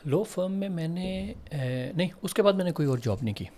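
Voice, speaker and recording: Animated man mixing in English. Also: high voice, a little fast. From Pakistan. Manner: animated and explanatory, mixing English phrases into Urdu. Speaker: guest entrepreneur. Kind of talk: podcast interview.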